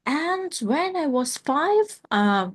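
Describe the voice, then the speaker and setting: A woman in her thirties with a Ukrainian accent, speaking English as a second language. She talks at an unhurried pace in a fairly high voice, and her speech is loose and chatty, with lots of "like" and restarts. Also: big pitch swings. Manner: many "like" fillers and restarts, non-native grammar. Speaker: guest, company founder. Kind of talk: casual interview talk.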